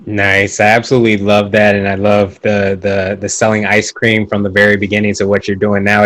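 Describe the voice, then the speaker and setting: Upbeat low-voiced male podcast host. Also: a bit bright, dry recording, poor audio. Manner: upbeat, enthusiastic. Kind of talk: podcast interview.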